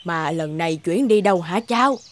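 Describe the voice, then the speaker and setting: A woman's voice: lowish voice, lively intonation, moderate pace, close to an everyday voice. A woman as a frail old village grandmother. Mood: agreeable. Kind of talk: cartoon dubbing.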